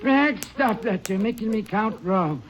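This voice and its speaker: A man's voice: high-pitched, animated, measured pace, soft background music. An actor playing a frightened older rancher in a 1940s radio drama, fussing at his dog and then pleading. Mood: fussy. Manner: flustered, pleading, rising to panic. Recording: echoey old radio transcription.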